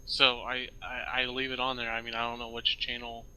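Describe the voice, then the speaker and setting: Male role-play gamer, sharp and clean-sounding, little pitch movement, casual. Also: monotone, a little fast, clear, resonant tone, low-quality recording. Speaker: player in a role-play game. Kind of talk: casual in-game talk.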